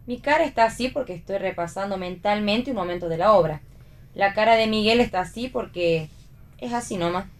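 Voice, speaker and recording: An actress playing a wry, bossy stage performer who gives dry retorts and directs others in a radio play reading. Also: female voice, mid-range voice, brisk, natural voice. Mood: dry, impatient. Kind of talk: radio read theatre dialogue.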